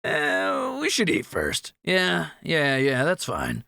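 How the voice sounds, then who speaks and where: A man's voice: a little high, wildly swooping pitch, slow, gravelly, bright, forceful tone, no echo, clean studio sound, full character voice. A man playing a cocky, greedy thief in a fantasy audio roleplay, teasing and sarcastic with swaggering banter. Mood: upbeat, bossy.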